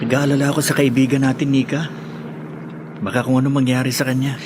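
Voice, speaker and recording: A man's voice: mid-range voice, little pitch movement, quick pace, background noise, lightly acted. Man playing a mild young male classmate. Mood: worried. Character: polite, reserved young male classmate, caring. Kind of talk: radio drama acting.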